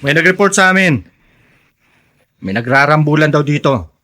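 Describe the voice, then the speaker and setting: Stern, high-pitched officer. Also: male voice, lively intonation, normal speed, bright, forceful tone. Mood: stern, commanding. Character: stern, bossy male village officer questioning youths. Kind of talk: radio drama acting.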